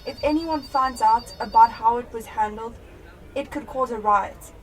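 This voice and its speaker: A woman's voice: a little high, moderate pace, close to an everyday voice. A young South African woman playing an earnest, emotionally invested police detective in a radio drama. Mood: concerned. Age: young adult. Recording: distant mic, noisy room ambience.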